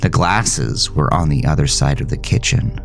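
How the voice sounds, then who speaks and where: A man's voice: a bass voice, expressive, moderate pace, creaky. A man narrating a first-person horror audio drama in a somber, literary storytelling manner. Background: music.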